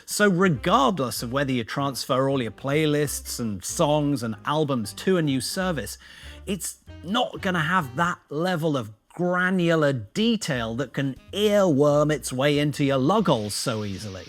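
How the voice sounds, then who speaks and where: A man's voice: on the high side, big pitch swings, a little slow, faint music behind. A British man hosting a casual tech review vlog, chatty and self-deprecating, with jokey, slangy asides. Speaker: YouTube video host.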